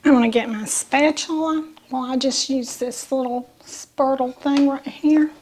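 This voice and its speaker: A woman with a Southern US accent hosts a casual cooking demonstration. Her voice is high, with a slight rasp from bronchitis. She is chatty and homey, talking through each step as she goes. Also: fairly even intonation, a little slow, a little mellow, slight background noise. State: mild bronchitis, slightly raspy voice. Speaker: host of a home cooking channel.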